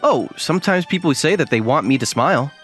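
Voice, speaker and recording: Young male student for an anime dub, played by a man: guarded, self-deprecating, dry and puzzled, with lively swings in pitch over a music bed. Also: a little fast. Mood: dry, bemused. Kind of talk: anime-style dub with first-person narration and inner monologue. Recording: music behind the voice.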